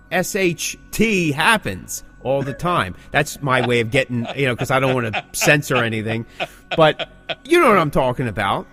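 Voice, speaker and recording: Animated higher-voiced man, podcast host. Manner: rhetorical questions, punchy repeated phrases. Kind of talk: casual opinionated chat.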